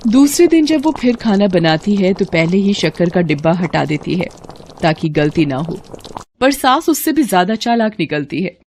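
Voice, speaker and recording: A woman's voice: fairly low pitch, sing-song, moderate pace, a little mellow, slight background noise. A woman narrator reading a scripted family story for a cartoon.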